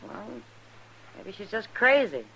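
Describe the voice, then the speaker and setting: A woman's voice: mid-range voice, big pitch swings, a little slow, sharp tone, a little hiss or hum, full character voice, low-quality recording. An actress playing a frank, concerned frontier woman in a 1950s radio western, talking in a familiar, conversational way. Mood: concerned, teasing.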